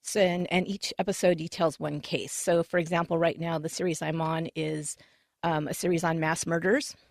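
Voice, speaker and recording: Gravelly woman with a lowish voice. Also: level tone, normal speed, no room sound, studio quality. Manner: chatty, self-correcting, many fillers. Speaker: podcast host, guest. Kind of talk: roundtable discussion.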